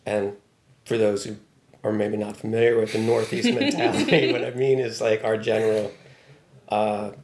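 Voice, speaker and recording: A man's voice: lowish voice, level tone, normal speed, echoey room, a crowd in the background. A man from Baltimore, talking casually and thoughtfully as an interview guest, with a wry tone and many fillers. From Baltimore, USA. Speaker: news writer, guest.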